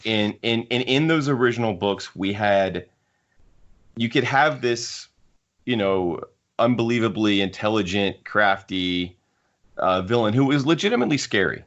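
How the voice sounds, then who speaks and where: A man with a fairly low voice hosts a casual discussion. He speaks emphatically and with firm opinions. The recording is muffled and lo-fi. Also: expressive, measured pace, a bit bright. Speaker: podcast host. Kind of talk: casual panel discussion of books. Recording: muffled lo-fi audio.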